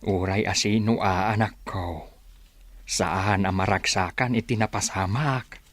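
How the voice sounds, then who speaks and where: A man's voice: fairly low pitch, fairly even intonation, unhurried, no echo. An actor with an Ilocano accent playing a cautious, worried village father in a radio drama.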